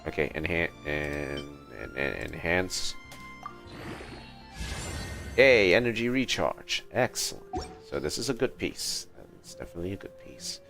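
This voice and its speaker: A man giving casual livestream gameplay commentary, thinking aloud in a halting, muttering way. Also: sits low, animated, vocal fry, sharp tone, faint music behind. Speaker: game livestreamer.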